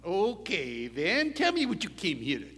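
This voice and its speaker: Man acting a defiant high-pitched old-West ranch boss. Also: wildly swooping pitch, fairly bright tone, breathy edge, heavily acted. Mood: defiant, suspicious. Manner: folksy Western cowboy talk, drawn-out emphatic delivery. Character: tough suspicious male ranch boss, defiant and accusing. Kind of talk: live Old West radio play acting. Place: theater stage before a live performance hall. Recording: echoey concert hall, faint noise.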